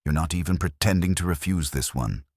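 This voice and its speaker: A man's voice: low voice, brisk, darkish tone, no echo. A man voicing a wry, streetwise detective's assistant in an audiobook, casual, dry and teasing. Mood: curious.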